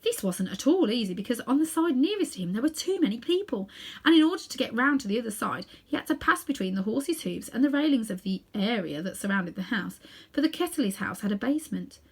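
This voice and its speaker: A woman reading a children's story aloud in an expressive narrating style. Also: on the high side, brisk, slightly rough. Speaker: storybook reader.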